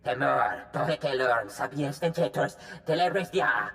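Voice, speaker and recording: A man voicing a strange, solemn alien captive in a video game, uttering halting, incantatory phrases in an invented tongue. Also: mid-range voice, vocal fry, fairly bright tone, slight background noise. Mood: solemn, cryptic.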